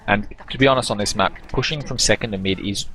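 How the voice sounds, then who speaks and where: Analytical male game caster, low voice. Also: fast talker, a bit bright, poor audio. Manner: analytical, stumbling restarts. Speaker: esports caster. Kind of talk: game commentary.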